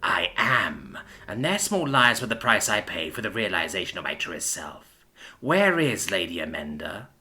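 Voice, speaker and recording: A man voicing a slow, menacing deluded villain. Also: very animated, sharp tone, exaggerated voice. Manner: slow, low and menacing, breaking into panicked pleading. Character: hulking villain who thinks he is a dragon.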